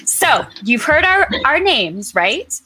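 Bright, animated woman host. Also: high-pitched, takes it slowly, no room sound, rough recording. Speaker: host of an author talk show. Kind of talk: upbeat casual hosting.

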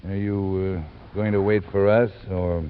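Through a muffled, faintly hissy old radio recording comes a very deep-voiced American homicide sergeant. He is gruff and weary, talking in a curt, flat, matter-of-fact way, in the style of 1950s detective radio. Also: a little slow.

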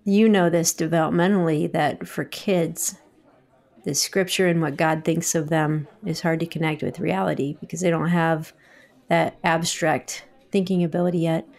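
A woman in her forties hosting a faith-based interview, measured and reflective in how she speaks. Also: deep voice, fairly even intonation, creaky, darkish tone. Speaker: host.

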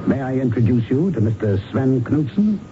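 Guy playing smug detective in an old radio play, really animated, noisy dull recording. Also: medium pitch, moderate pace, natural voice. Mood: satisfied, formal. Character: confident male American investigator confronting a captured criminal. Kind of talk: radio drama acting. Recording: muffled, noisy vintage radio broadcast.

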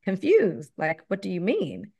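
A woman from Belize, a guest on an interview podcast, who talks about herself in a lively, laughing way and acts out other people's questions. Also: mid-range voice, measured pace, gravelly, breathy edge. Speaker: guest, leadership firm founder. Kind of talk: casual interview talk.

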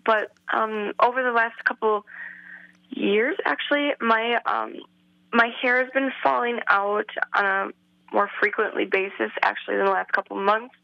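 A woman in her thirties from Wisconsin, calling in to a radio show over the phone, hesitant with many pauses and ums. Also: fairly high pitch, steady tone, vocal fry, no room sound. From Wisconsin, United States. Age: 30s. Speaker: caller. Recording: lo-fi phone line.

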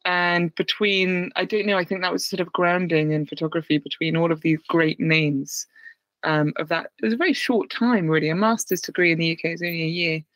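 A woman's voice: on the low side, fairly even intonation, fairly quick, a bit bright, dry recording, muffled recording. British woman chatting candidly on a call. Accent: British English. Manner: candid, chatty, self-deprecating with laughs. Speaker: guest. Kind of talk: casual interview. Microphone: remote call.

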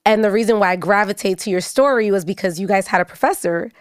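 A woman host leads a relaxed interview, her voice smooth and clear. She tells stories with animated energy and laughs easily along with her guests. The sound is close and dry, with no room around it. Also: medium pitch, fast, no room sound. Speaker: podcast host. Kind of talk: conversational interview.

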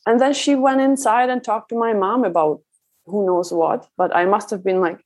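A woman's voice: medium pitch, steady tone, moderate pace, a little mellow. Woman recounting personal stories in conversation. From Czech Republic. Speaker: parenting coach, interview guest.

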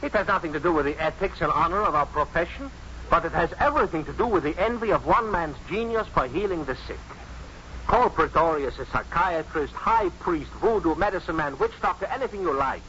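An actor playing a blustery, irreverent professor with a colorful, animated manner in a 1950s radio drama. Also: male voice, a little high, fairly quick. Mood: exasperated, passionate. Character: feisty irreverent male professor, blustery loyal champion of a colleague. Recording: dull noisy 1950s radio recording.

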